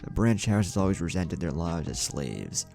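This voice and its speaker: A man voicing a calm, cautious ninja advisor in a story, measured and cautioning. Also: sits low, gravelly, soft background music, exaggerated voice. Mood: warning.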